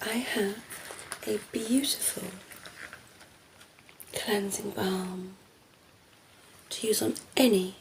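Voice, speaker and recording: A cheeky female vampire played by a woman murmurs and whispers in an unhurried, creaky voice. She coos at humans with teasing, condescending affection, in the close, breathy style of ASMR roleplay. Clear background noise sits under the voice. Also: mid-range voice, fairly even intonation, rough recording. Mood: flirty, doting. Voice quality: breathy, often dropping into a whisper. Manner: slow, teasing, cooing.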